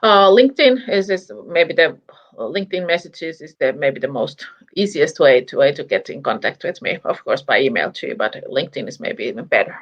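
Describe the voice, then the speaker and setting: A woman's voice: sits low, animated, normal speed, lo-fi sound. A woman with a Finnish accent, a guest entrepreneur speaking English in a casual video-call interview, hesitant with many restarts and repeated words. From Finland.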